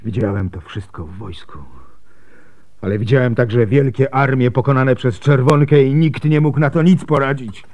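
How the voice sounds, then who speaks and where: An actor playing a grieving, weary and bitter former soldier in a radio drama, brooding and dramatic. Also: male voice, medium pitch, moderate pace, no room sound, highs cut off, close to an everyday voice.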